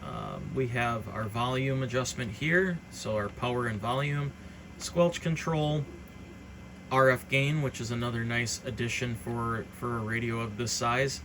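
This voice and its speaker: Guy doing a casual gear walkthrough, medium pitch, kinda hesitant explaining stuff, noticeable noise in the background. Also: measured pace. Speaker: hobbyist presenter demonstrating radio gear. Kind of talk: informal product walkthrough. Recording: clearly noisy background.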